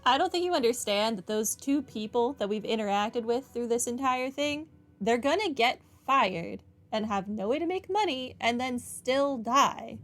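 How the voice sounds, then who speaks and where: A woman streaming a game and commenting casually as she plays. Her voice is on the high side, with faint music in the background. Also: expressive, moderate pace.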